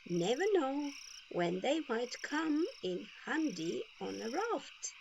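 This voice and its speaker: A kindly country grandmother from a children's storybook, acted out by a woman reader. She speaks in a raised pitch, folksy and to the point, generous and encouraging. Faint outdoor ambience sits behind the words. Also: sing-song, slow, vocal fry, background noise, full character voice.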